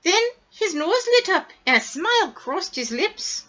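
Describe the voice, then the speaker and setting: A woman reading a children's story aloud in an expressive, dramatic way, drawing out words for emphasis. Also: very high voice, unhurried, highs cut off. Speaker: storybook reader.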